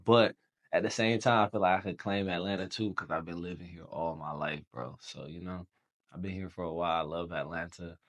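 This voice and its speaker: A young man from Haiti, a Creole speaker, telling his story as a podcast guest in relaxed slangy chat. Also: low-pitched, fairly quick. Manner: relaxed storytelling, slangy, says bro often. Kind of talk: casual chat.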